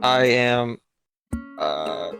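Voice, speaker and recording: Guy on a podcast call, rambles a lot, lots of ums and restarts, middle pitch. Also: expressive, a bit bright, faint music behind. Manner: rambling, halting, many fillers and restarts. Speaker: podcast host. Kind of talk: casual voice-call chat.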